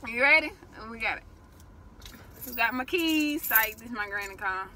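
A young woman with a high, bright voice talks in a slangy, animated way, like a casual vlogger. She teases and bickers playfully. It is recorded on a phone in a car with some faint noise. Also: moderate pace. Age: young adult. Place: inside a parked car. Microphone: phone camera at arm's length.

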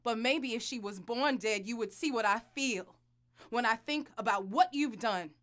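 Actress doing a bitter anguished wife in a radio play, intense, kinda high and sharp voice. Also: female voice, lightly acted. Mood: accusing, angry. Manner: intense, accusing, deliberate. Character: bitter, anguished wife and reluctant adoptive mother, devout. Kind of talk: dramatic audio drama acting. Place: small recording studio. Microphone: studio condenser mic.

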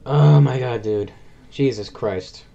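A man's voice: medium pitch, takes it slowly, creaky, highs cut off, slight background noise. A young man giving casual gameplay commentary, cheering on a teammate. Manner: excited, urging on a teammate. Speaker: gamer.

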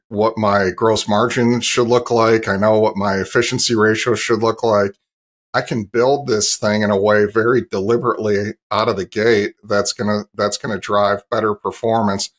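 A middle-aged man with a fairly low voice speaks as an interview guest on a video call. He explains business matters like an industry analyst, indoors in a home office. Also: fairly bright tone.

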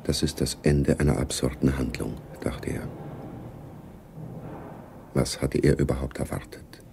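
A man narrating a spy radio drama gravely, with a deep, gravelly voice. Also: unhurried, background noise, exaggerated voice.

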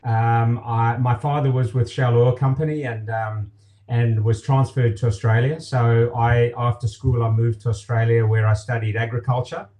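A man in his fifties from Zimbabwe, a company director telling his story as a guest on a video call, in a relaxed way with many fillers. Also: mid-range voice, moderate pace. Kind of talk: casual interview. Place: home office, indoors.

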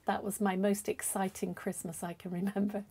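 An older woman recalling farm-childhood Christmases in a measured way, seated on a sofa. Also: medium pitch, little pitch movement, mellow, husky. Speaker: interviewee. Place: living room indoors.

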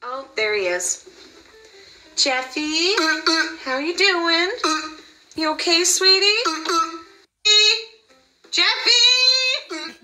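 A worried young wife and mother, voiced by a woman with a very high, bright voice. She talks slowly and scolds with lots of concern, and her pitch moves up and down a lot. There is faint noise behind her. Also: animated, full character voice, rough recording. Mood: soothing.

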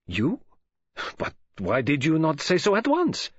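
A man playing a pompous older foreign detective in an audiobook, speaking formally, precisely and with deliberate self-assurance. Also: medium pitch, wildly swooping pitch, husky, no room sound, dull audio, voice pushed up. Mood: sceptical.

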